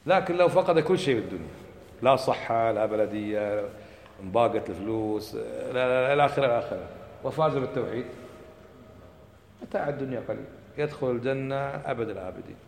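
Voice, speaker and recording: A man giving an impassioned religious lecture, firing off rhetorical questions and dropping to a low voice for emphasis. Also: animated, quick pace, gravelly, a little mellow, slight background noise. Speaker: religious teacher. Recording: echoey hall recording.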